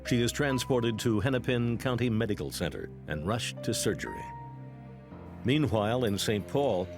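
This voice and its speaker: A man with an American accent reading a scripted true-crime documentary narration. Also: fairly low pitch.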